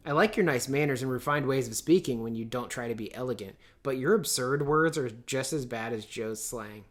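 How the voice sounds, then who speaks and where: A man's voice: mid-range voice, brisk, natural voice. A prim elder sister in her teens read by a man, from a storybook. The character is bossy and motherly, inclined to lecture and complain. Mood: lecturing.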